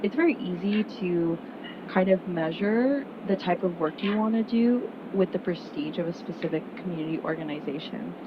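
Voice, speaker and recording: A young woman speaks to a room of prospective students in an earnest, chatty way, leaning on "like" and "um" as she goes. Her voice sits a little low for a woman. The recording is muffled, with a clear steady rumble underneath. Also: moderate pace. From Miami, United States. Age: young adult. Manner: earnest, conversational, frequent "like" and "um" fillers. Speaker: student speaker at an information session. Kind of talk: casual presentation to prospective students.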